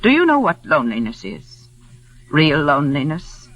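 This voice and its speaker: An actress playing a stern, lonely older widow in a 1940s radio drama, clipped and brooding in delivery. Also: female voice, sits low, huge pitch swings, moderate pace, a bit bright, full character voice. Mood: bitter, lonely. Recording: muffled vintage radio recording.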